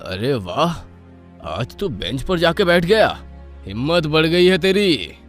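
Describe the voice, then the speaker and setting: Man acting an evil school principal, melodramatic, menacing, fervent, slow delivery, animated story villain, music. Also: fairly high pitch. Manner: chanting, pleading, menacing. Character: power-hungry evil school principal, devil worshipper.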